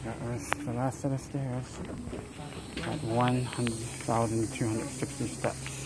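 Slow-paced travel vlogger amid outdoor noise. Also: mid-range voice, level tone. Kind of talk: handheld travel vlog, casual narration. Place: outdoors on a mountain temple stairway and summit. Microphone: handheld phone or camera held by speaker. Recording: noisy outdoor handheld recording, insect ambience.

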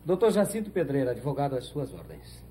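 A man's voice: mid-range voice, wildly swooping pitch, brisk, mellow. A Brazilian man playing a pompous, slippery, very formal lawyer in a 1970s telenovela. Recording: noisy old 1970s TV soundtrack.

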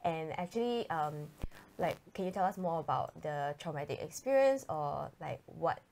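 A woman, hosting a casual bedroom interview in a relaxed, agreeable way. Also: sits low, moderate pace, vocal fry, a little hiss or hum. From Singapore. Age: 20s. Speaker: host. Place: bedroom, indoors.

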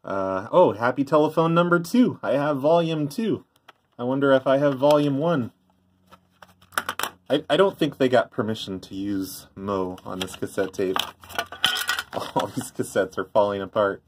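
A man's voice: medium pitch, measured pace, background noise. A middle-aged man giving an offhand, rambling show-and-tell commentary in a casual way. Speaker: vlogger, former prank call tape trader. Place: indoors by a tape deck.

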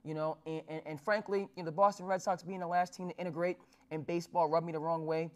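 A man's voice: on the high side, little pitch movement, fast. A man in his thirties from New Jersey hosting a sports talk show, using formal phrasing with frequent fillers and restarts. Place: small studio with dark backdrop.

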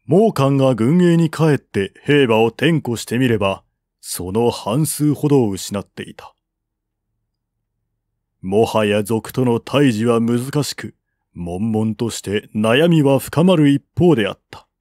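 A man narrates a historical war chronicle in formal, solemn prose. His pace is measured and his pitch sits in the middle. The sound is dry and close, free of room echo. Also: expressive, a little mellow. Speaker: audiobook reader. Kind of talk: scripted narration of a historical novel.

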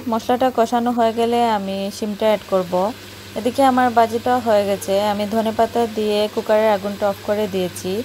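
A woman's voice: fairly high pitch, steady tone, a little fast, a little mellow. A woman with a Bangladeshi accent gives casual voice-over narration as a home cooking vlogger. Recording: clear background noise, home recording.

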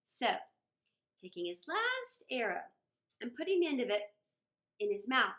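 Woman, middle-aged, high-pitched, lively intonation, theatrical children's read-aloud, deliberate dramatic pauses. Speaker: children's storytime reader and host.

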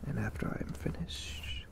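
A man voicing a gentle, courtly vampire catboy in a breathy, soothing ASMR roleplay, formal in his wording. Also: close to an everyday voice. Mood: hungry, gentle. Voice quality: breathy whisper. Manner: slow, hushed, formal and soothing. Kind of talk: soft whispered ASMR roleplay.

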